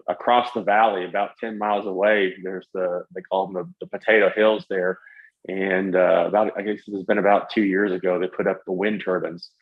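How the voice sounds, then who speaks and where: A middle-aged man, a guest pilot in an interview, explaining things in a halting, conversational way. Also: lowish voice. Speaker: guest, paraglider pilot and retired air traffic controller. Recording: dull, lo-fi voice audio.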